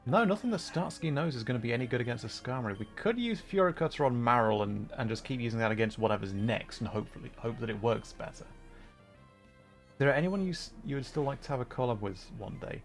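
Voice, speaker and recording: A man chatting casually on a livestream while playing a game, rambling and halting as he thinks aloud. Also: mid-range voice, lively intonation, faint music behind. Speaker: livestreamer, VTuber and content creator.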